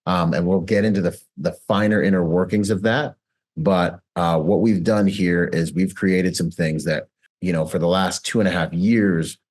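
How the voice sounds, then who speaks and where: A man in his late thirties giving an energetic, conversational presentation on a video call as host. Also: low-pitched. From Bahamas, grew up in Washington State. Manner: energetic, conversational, self-promoting.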